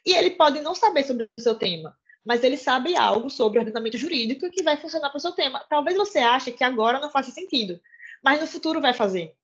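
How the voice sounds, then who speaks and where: A woman's voice: high voice, lively intonation, quick pace, lo-fi sound. A young woman, a law student talking informally to fellow students, chatty and earnest, with many fillers and self-corrections.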